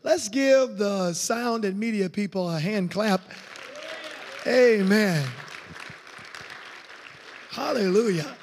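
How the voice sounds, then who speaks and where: Raspy high-voiced revival preacher. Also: male voice, takes it slowly, pauses often, a faint crowd, slight background noise. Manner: exuberant, peppered with hallelujahs. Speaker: guest evangelist. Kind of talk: revival sermon. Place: church sanctuary. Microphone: handheld mic. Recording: echoey church hall.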